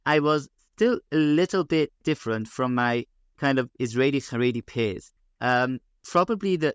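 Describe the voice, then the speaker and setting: Thoughtful London-born man, smooth voice. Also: mid-range voice, no echo, clean studio sound. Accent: London English. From England. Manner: measured, many fillers and restarts. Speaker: guest rabbi. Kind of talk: podcast interview.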